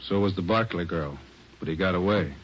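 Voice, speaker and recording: An actor playing an authoritative chief of detectives in a 1940s radio drama, direct and terse in manner. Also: male voice, low-pitched, a little fast, loud music behind the voice, close to an everyday voice. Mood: brisk, commanding. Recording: muffled, noisy 1940s radio recording.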